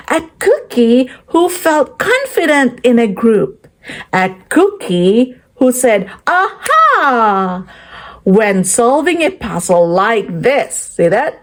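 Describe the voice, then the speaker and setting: A woman reading a children's picture book aloud in a teacherly way, pausing to quiz and praise her young listeners. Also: sits high.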